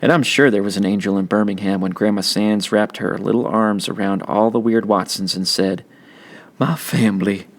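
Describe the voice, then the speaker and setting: A man reading a children's novel aloud as scripted audiobook narration. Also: fairly low pitch. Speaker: audiobook reader.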